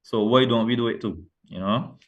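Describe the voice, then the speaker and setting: A man's voice: medium pitch, moderate pace, dull audio. A middle-aged man from Barbados with a Barbadian accent, talking as an author guest in a casual interview, earnest and rambling with many restarts. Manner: rambling, self-correcting, earnest.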